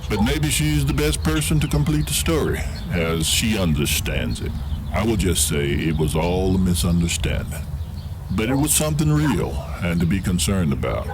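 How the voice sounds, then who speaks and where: A man playing an older, gentle grandfather and prophet, speaking patiently in an amateur audio drama. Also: low-pitched, big pitch swings, normal speed. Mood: patient, serious. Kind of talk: amateur Bible-themed audio drama acting. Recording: clearly noisy homemade recording.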